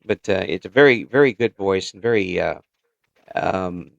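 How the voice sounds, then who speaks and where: A man's voice: deep voice, moderate pace, gravelly, no room sound, dull audio. A man hosting a casual interview podcast, chatting in an encouraging way.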